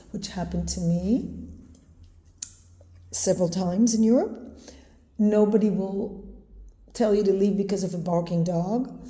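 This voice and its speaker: A woman with an Israeli accent, talking casually to camera with many pauses and ums. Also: mid-range voice, dark tone. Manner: slow, hesitant, many ums. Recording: echoey room with long reverb.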